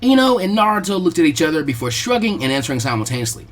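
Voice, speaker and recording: A man's voice: a little high, sing-song, racing. A man reading scripted fan fiction narration aloud as a storyteller.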